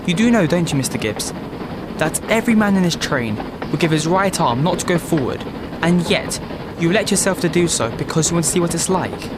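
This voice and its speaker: An actor as an lieutenant amid loud wartime noise. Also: male voice, on the high side, lively intonation, brisk, natural voice. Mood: challenging, grave. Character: proud, excitable English army lieutenant of World War One. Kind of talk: period audio drama acting. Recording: very loud battlefield and vehicle ambience.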